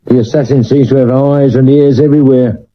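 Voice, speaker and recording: A man's voice: mid-range voice, steady tone, fairly quick, lightly acted. A man playing a down-to-earth, respectful Victorian police sergeant with a British accent, in a 1970s radio drama on a dull old recording. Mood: polite, matter-of-fact.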